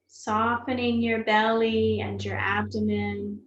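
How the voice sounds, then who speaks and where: Slow, soothing woman guiding meditation. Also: medium pitch, little pitch movement, lo-fi sound. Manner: soothing, gentle, unhurried with long pauses. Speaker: meditation teacher. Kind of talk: guided meditation. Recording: roomy echo, faint background noise.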